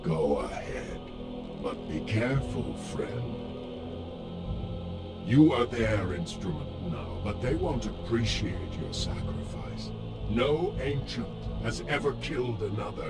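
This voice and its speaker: A man acting a defiant, taunting ancient god in a video game cutscene, with theatrical menace. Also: fairly low pitch. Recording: loud music under the voice. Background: loud music.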